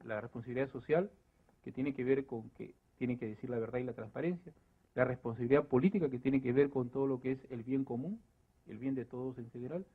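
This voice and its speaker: Husky man on a narrow, muffled recording. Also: mid-range voice, a little fast. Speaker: debate panelist. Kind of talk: spontaneous analytical commentary at a debate. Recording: narrow, phone-like debate recording.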